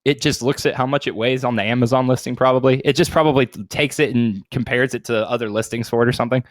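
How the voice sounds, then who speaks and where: A man's voice: mid-range voice, fairly even intonation, fast, no echo, studio quality. A man in his thirties chatting casually as a podcast co-host, informal and swearing freely with lots of dude slang. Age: 30s. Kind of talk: casual chat.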